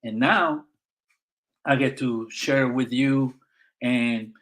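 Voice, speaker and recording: A man's voice: medium pitch, steady tone, slow, fairly bright tone, low-quality recording. A middle-aged man with a Puerto Rican accent, a Marine veteran guest speaking earnestly in a conversational interview, with frequent fillers and restarts. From Puerto Rico.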